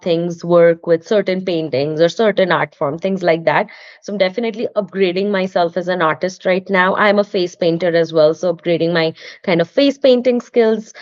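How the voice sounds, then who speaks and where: A young woman speaks with an Indian English accent, earnest and encouraging as she gives advice. Her voice sits a little low. The recording sounds slightly dull and muffled. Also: darkish tone. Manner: earnest, encouraging, with frequent restarts. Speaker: podcast guest, artist and studio owner. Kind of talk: casual interview talk.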